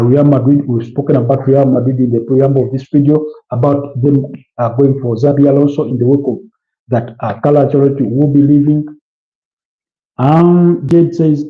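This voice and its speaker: A man with a Ugandan accent hosting a casual football preview livestream, chatting with viewers in a hesitant way and repeating phrases. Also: mid-range voice. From Kampala, Uganda. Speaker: livestream host. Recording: dull, roomy, echoey sound.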